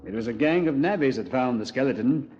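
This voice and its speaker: On a muffled old radio recording, a man plays a genial British police commander. His mid-pitched voice is chatty and understated, with hesitant pauses. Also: expressive, a little mellow, slight background noise, close to an everyday voice. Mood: genial, matter-of-fact. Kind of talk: 1950s radio drama acting.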